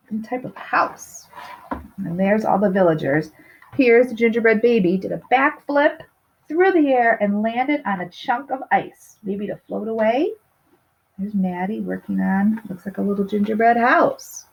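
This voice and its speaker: A woman reads a storybook aloud for little children. Her mid-range voice rises and falls in a very lively way, lingering on key words. The recording is a little dull and lo-fi. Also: mid-range voice, slightly rough. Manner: expressive, stretching key words, asking questions of young listeners. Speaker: storybook reader.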